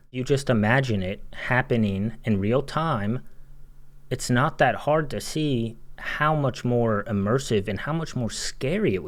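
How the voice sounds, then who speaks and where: A man giving a critical video game analysis voice-over in a casual, opinionated, emphatic way. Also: lowish voice. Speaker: video essay commentator.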